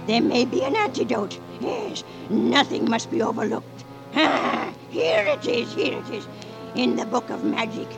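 A woman's voice: high-pitched, heavily acted, poor audio. A woman playing a wicked witch queen disguised as a toothless, cackling old hag in a 1940s radio drama. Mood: gloating, scheming. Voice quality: creaky, cackling. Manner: wheedling and gloating, muttering asides and shrieks.

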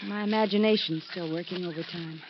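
A woman's voice: on the low side, fairly even intonation, fairly quick, lightly acted. An actress playing an anxious, jumpy woman in a 1950s radio drama, worried and pleading. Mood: uneasy. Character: anxious, jumpy American woman stranded abroad. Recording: noisy, muffled 1950s radio recording.

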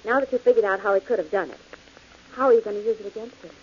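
An actress playing a skeptical, dry-witted companion in an old radio drama. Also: female voice, medium pitch, rapid-fire, lightly acted. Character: skeptical wry woman, detective's companion. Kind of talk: 1940s radio acting. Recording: dull old radio broadcast with hiss.

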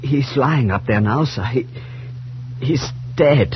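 An actor playing a distressed, deferential servant with a halting, stammering delivery, on a noisy 1940s radio recording. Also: male voice, mid-range voice, lo-fi sound, close to an everyday voice. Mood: shocked, halting. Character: distressed, deferential servant bringing terrible news.

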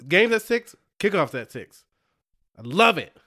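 A man's voice: sits high, very animated, unhurried, bright, forceful tone. A man co-hosting a podcast, chatting casually about sports, laughing often and restarting his sentences. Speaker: podcast co-host.

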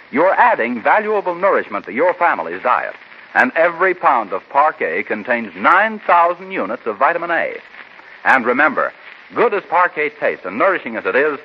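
A man announcing and pitching products in the polished, emphatic style of 1940s radio. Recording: muffled, faintly noisy 1940s radio recording.

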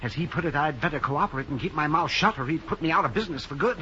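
An American actor playing a nervous, genteel, middle-aged club owner in a 1950s radio drama, hesitant and fussy. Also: male voice, a little high, animated, rapid-fire, pushed and bright. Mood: uneasy. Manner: hesitant, flustered, fussy, swooping emphasis. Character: nervous, genteel older male club owner, evasive and prim. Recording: muffled, hissy 1950s radio recording.

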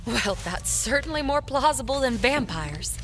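A woman's voice: fairly high pitch, lively intonation, moderate pace, fairly bright tone, soft background music. A woman acting a practical, dryly sarcastic small-town library worker in an audio drama. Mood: wry, skeptical.

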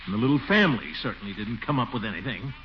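An actor playing a pompous, blustery, easily exasperated middle-aged town commissioner in a 1940s radio comedy, on a muffled old recording. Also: male voice, mid-range voice, sing-song, very fast, background noise, lightly acted. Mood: preoccupied, grumbling. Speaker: lead comic character.

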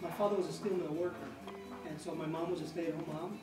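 A middle-aged man from the American Midwest shares personal stories as an interview guest. He talks conversationally and leans on repeated phrases. The room is echoey, the mic sits at a distance, and music plays clearly behind him. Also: a little high, steady tone, fast talker. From Midwestern United States.

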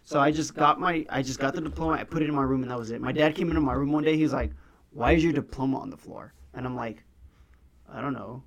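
Young male podcast co-host, early twenties, gravelly, loose and profane. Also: medium pitch, fast talker. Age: young adult. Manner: laid-back, swears a lot, rambling storyteller. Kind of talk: casual chat.

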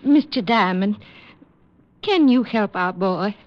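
A woman's voice: mid-range voice, expressive, slow. A woman playing an anxious, earnest mother in a 1950s radio drama. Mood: anxious, pleading. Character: anxious, worried mother of a troubled son. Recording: muffled old radio recording.

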